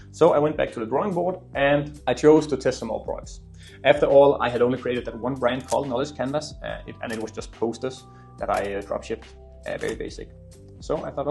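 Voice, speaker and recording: A man's voice: mid-range voice, a little mellow. A man in his twenties with a Danish accent and native Danish, telling his story casually to viewers in an earnest, emphatic way, repeating words for stress. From Denmark. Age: 20s. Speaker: YouTuber and e-commerce entrepreneur. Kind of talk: casual storytelling talk to camera.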